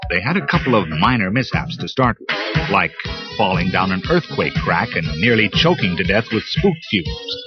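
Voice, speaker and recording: Expressive man narrating a storybook. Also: sits low, normal speed, over music. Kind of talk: storybook read-along narration. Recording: lo-fi cassette with loud hiss.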